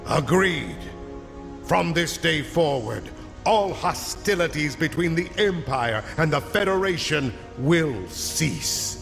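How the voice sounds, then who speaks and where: An actor playing a proud, defiant warrior leader in a video game, declaiming solemnly and forcefully. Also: male voice, fairly high pitch, expressive, slow pace, vocal fry, heavily acted. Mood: solemn resolve. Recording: reverberant game audio with music.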